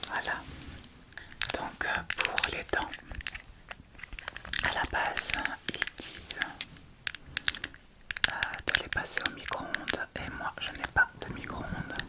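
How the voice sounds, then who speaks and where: A woman whispering softly and informally through an ASMR makeup tutorial, with hesitant, unhurried phrasing. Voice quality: soft unvoiced whisper. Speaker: ASMR video creator.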